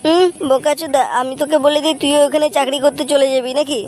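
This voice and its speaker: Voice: high-pitched, flat delivery, fast talker, heavy background noise, natural voice. A performer voicing a cocky, foul-mouthed young son in a comic cartoon dub, with a brash, crude manner. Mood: boastful, brash.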